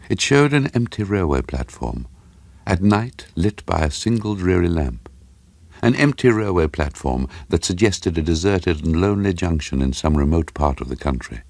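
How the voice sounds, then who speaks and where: Male narrator for radio ghost story. Low-pitched, suspenseful, deliberate; close, dry sound. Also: vocal fry, a little mellow, no echo.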